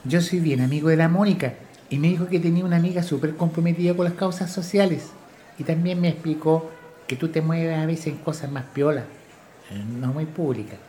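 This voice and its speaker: A man's voice: on the high side, brisk, dark tone, a faint crowd, close to an everyday voice. A man with a Chilean accent playing a polite young journalism student in a radio drama. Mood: polite, earnest. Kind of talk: natural radio drama dialogue.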